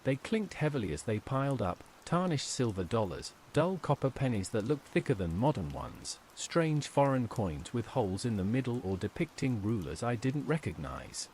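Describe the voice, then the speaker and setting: A man's voice: mid-range voice, sing-song, normal speed, few pauses, a little mellow, slight background noise. Man narrating horror fiction, varied intonation. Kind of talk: scripted first-person horror narration.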